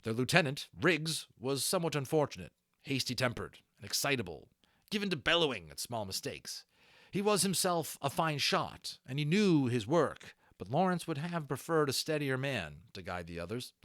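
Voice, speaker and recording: A man reading a novel aloud as an audiobook narrator, in an expressive storytelling style. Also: medium pitch, moderate pace, no room sound, studio quality. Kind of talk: expressive storybook narration with brief asides to listeners.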